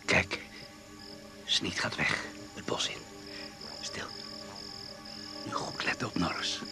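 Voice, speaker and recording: An actor playing a sharp amateur sleuth in a radio thriller, brisk and conspiratorial. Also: male voice, music in the background, lightly acted. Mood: urgent. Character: sharp, urgent male amateur sleuth in the jungle. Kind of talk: radio drama acting.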